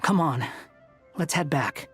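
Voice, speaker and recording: A pensive woman in a video game. Her voice is low and her delivery slow and guarded. Soft music sits behind her. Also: animated. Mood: wary, pensive. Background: background music.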